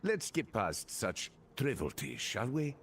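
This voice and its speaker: A man's voice: mid-range voice, very animated, measured pace, fairly bright tone, a little hiss or hum. Flamboyant, swooping male guide. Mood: smooth flattery. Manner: playful, flamboyant, glib. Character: flippant, theatrical, charming male guide. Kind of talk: video game voice acting.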